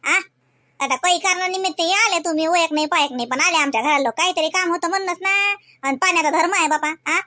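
A woman's voice: very high voice, brisk, sharp tone, dry recording, clean studio sound. A woman playing a kindly, talkative, scolding village mother in a comedy cartoon, with a Varhadi Marathi accent. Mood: earnest, homely. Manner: homely, talkative, emphatic.

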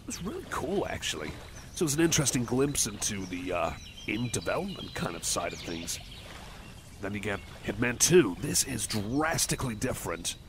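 Shy, creaky-voiced rambling cyborg buddy played by a man. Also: fairly low pitch. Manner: hesitant, halting, rambling, apologetic. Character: shy, kindly, rambling male cyborg friend, eager game-music nerd. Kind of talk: roleplay ASMR, casual one-sided chat. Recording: noisy outdoor ambience with birdsong.

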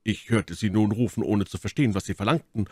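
A man's voice: fairly low pitch, brisk, husky, dry recording, clean studio sound. A man playing a dutiful guard soldier in an audiobook, explaining himself plainly. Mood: earnest, puzzled. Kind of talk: dramatic audiobook character acting.

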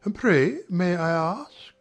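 A charming young army officer from a period audiobook, acted by a man, speaks in a fairly high male voice. He is formal and smooth, probing and insinuating beneath a gentle surface. Faint music plays behind him. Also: expressive, takes it slowly, exaggerated voice. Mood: feigned casual, probing. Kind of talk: dramatized audiobook acting of a period novel.

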